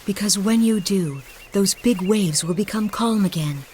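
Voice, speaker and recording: An actress playing a gentle, wise mother in a game cutscene, patiently and tenderly explaining things to her young child. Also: medium pitch, measured pace, slight background noise, lightly acted. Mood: grave, gentle. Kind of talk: scripted game cutscene dialogue.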